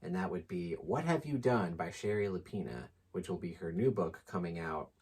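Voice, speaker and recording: Man, deep pitch, husky, casual book-channel co-host, easygoing, joking. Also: lively intonation, normal speed, a little mellow. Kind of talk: casual unscripted book chat.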